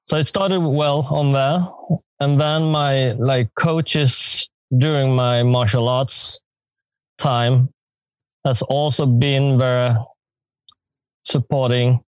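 Slow-spoken halting male guest. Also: medium pitch, steady tone, no room sound, poor audio. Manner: slow, halting, with many pauses. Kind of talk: podcast interview.

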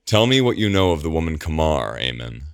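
An imperious male ruler who growls his commands, as a man reading an audiobook puts him on. The voice is very deep and gravelly, close to the reader's own, and recorded dry. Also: very animated, a little fast, no room sound, heavily acted.

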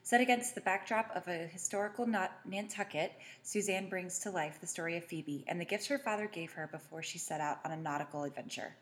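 An American woman hosts a podcast. Her voice sits on the low side for a woman as she addresses her guest and listeners. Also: brisk, few pauses. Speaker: podcast host. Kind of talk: conversational podcast interview.

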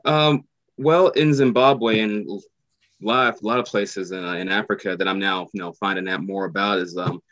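Deep-voiced hesitant man, muffled audio. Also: steady tone, moderate pace, a bit bright, no echo. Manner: hesitant, many fillers like "you know". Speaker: actor guest. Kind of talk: casual interview answers.

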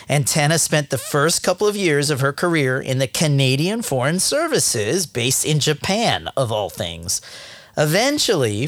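A man's voice: on the high side, no room sound. A man narrating a career podcast from a script, with a playful, wry tone. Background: soft music.

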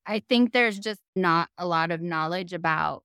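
A woman's voice: fairly low pitch, moderate pace, fairly bright tone, clean tone, no room sound, clean studio sound. A woman moderating a panel discussion in a conversational way, posing questions to guests.

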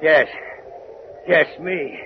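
An actor playing a callous, defiant fisherman in a radio drama, with a gravelly voice. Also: fairly high pitch, drawn-out words. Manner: defiant, cruel. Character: callous, sneering wicked Irish fisherman son. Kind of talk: old-time radio drama acting. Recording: muffled, noisy old radio recording.

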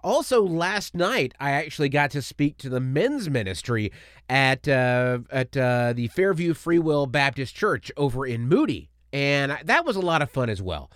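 A man's voice: mid-range voice, sing-song, moderate pace, fairly bright tone, no room sound, studio quality. A man hosting a podcast in a chatty, rambling, upbeat way, his voice a bit strained from allergies. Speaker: podcast host. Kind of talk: casual podcast monologue. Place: studio.

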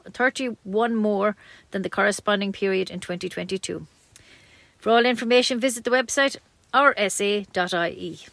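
An Irish woman reads the news for a community radio station. Her voice sits at a middling pitch for a woman. The sound is dry, and she delivers scripted local items as a newsreader. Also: fairly bright tone, no room sound.

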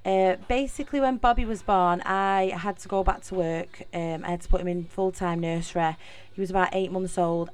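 A young woman with a Mancunian accent hosting her own podcast, talking in a chatty, rambling way with many fillers and restarts. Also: lowish voice. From Manchester, England. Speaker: podcast host. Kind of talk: casual solo podcast monologue.

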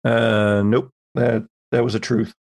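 A man's voice: on the low side, level tone, slow, a little mellow. A man, narrating a tabletop horror roleplaying game as game master.

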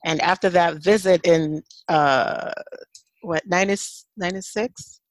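A woman's voice: fairly low pitch, unhurried, dry recording. A woman, a guest in an interview who chimes in and picks up her husband's story. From Jamaica. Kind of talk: casual conversation.

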